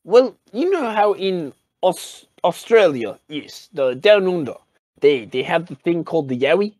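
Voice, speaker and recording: A man playing a pompous, nostalgic Soviet poet in improvised comedy, with a put-on Russian accent and a halting, mock-grandiose delivery that repeats words. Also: on the high side, very animated, a little mellow, no room sound. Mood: mock-grave.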